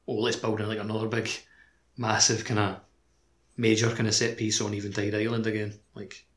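A man's voice: on the low side, little pitch movement, a little fast. A man co-hosting a podcast, chatting casually about a video game in loose, informal phrasing. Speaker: podcast co-host.

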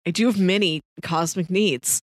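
A woman's voice: on the low side, moderate pace, no echo, clean studio sound. A woman co-hosting a podcast, talking in a casual, conversational way. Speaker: podcast co-host. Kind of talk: casual chat.

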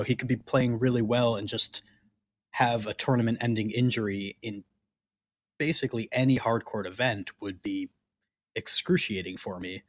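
A man co-hosting a podcast, discussing sports casually in a blunt, opinionated way. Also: medium pitch. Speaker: podcast co-host.

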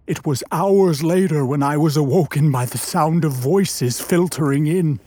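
A man plays a wounded, articulate man-made creature telling its own tale in a radio drama. The voice is high and soft-edged, and the delivery is slow, formal and full of longing. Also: close to an everyday voice. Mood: weary, searching. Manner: formal, literary, measured storytelling with drawn-out words. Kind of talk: dramatic radio play narration.

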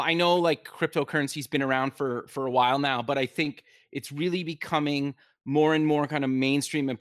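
A man's voice: fairly high pitch, fairly bright tone. A man hosting an interview, casual and friendly, often answering with short stock replies. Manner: friendly, repeats short affirmations. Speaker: podcast host.

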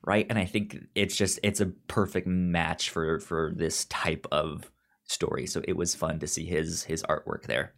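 A man co-hosting a podcast in casual chat, summarizing and reviewing in a halting way, often stammering and repeating words. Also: low voice, steady tone, vocal fry, fairly bright tone. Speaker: podcast co-host.

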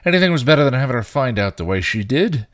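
A man voicing a bitter, guilt-ridden male vampire, rough and full of crude slang. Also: lowish voice, expressive, normal speed, dry recording, clean studio sound, lightly acted. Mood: angry. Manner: snarling, rough, clipped slang. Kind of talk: fan fiction reading.

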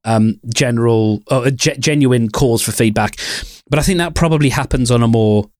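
A man's voice: medium pitch, moderate pace, darkish tone, no room sound, studio quality. A man co-hosting a podcast, casually discussing and explaining his opinions. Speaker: podcast co-host.

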